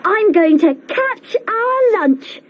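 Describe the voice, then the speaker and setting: A woman's voice: very high voice, lively intonation, takes it slowly, pitched above the performer's own voice, exaggerated voice. A woman voicing an eager, boastful little boy in a children's storybook, on a muffled, noisy recording. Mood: excited. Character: excited young boy, the little brother.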